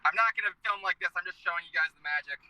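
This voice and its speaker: A man's voice: high-pitched, very fast, no room sound, highs cut off. A man hosting a casual talk show from inside his car, joking and rambling with a groggy voice after a day of work. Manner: joking, chatty, self-interrupting.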